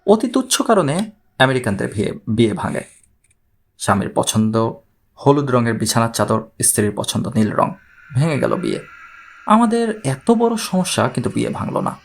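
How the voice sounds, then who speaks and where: A man playing a troubled, courteous man who gravely recounts his own strange story in an audiobook. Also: mid-range voice, wildly swooping pitch, normal speed, pitched below the performer's own voice, full character voice. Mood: grave, confiding.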